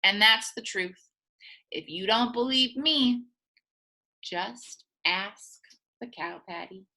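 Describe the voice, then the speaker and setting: A woman reading a children's picture book aloud in a playful, expressive way, as a teacher does for young listeners. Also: medium pitch, takes it slowly, poor audio. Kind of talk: animated children's storybook read-aloud.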